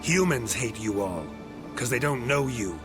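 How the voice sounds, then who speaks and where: An actor playing a stoic, weathered monster hunter in a game, terse and deadpan. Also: male voice, mid-range voice, measured pace, lightly acted. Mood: calm, firm. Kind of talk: video game cutscene acting. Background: loud music.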